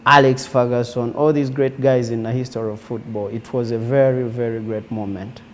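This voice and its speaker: A man's voice: medium pitch, steady tone, normal speed, a little hiss or hum. A man in his twenties with a Ugandan accent, telling his personal story conversationally as an interview guest. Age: 20s. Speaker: interview guest, former footballer.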